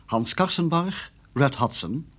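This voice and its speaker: A man reading scripted closing credits as a radio drama announcer, formal and deliberate. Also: on the high side, lively intonation, a bit bright.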